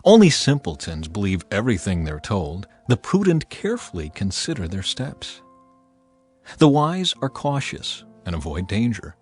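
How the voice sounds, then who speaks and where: A man reading scripture as a dramatized audiobook narrator, with a solemn, measured and expressive delivery. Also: lowish voice, husky, faint music behind.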